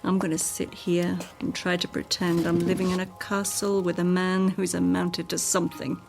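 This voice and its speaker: A woman's voice: on the low side, flat delivery, normal speed, gravelly. A woman acting a discontented, self-absorbed wife with a biting, emphatic delivery in a radio drama. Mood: scornful. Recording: prominent music behind the voice.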